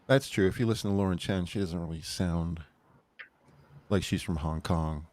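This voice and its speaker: A man's voice: sits low, normal speed, a crowd in the background. A man co-hosting an online talk show, rambling casually and laughing at his own jokes. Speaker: podcast co-host. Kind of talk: casual chat.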